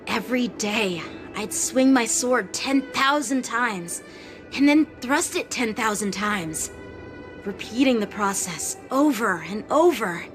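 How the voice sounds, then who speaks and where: Lady doing a serious young boy swordsman in a game, slow and thoughtful, music playing under it. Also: on the high side, lively intonation. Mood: reflective. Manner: earnest, reflective, slow and measured. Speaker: sword apprentice. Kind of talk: game cutscene voice acting. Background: game score music.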